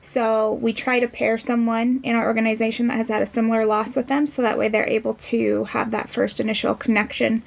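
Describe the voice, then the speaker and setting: A woman's voice: fairly high pitch, little pitch movement, a little fast, creaky, few pauses, low-quality recording. An American woman explaining things in a matter-of-fact, informative way as a podcast guest. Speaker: podcast guest, nonprofit director. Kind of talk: explanatory interview answers.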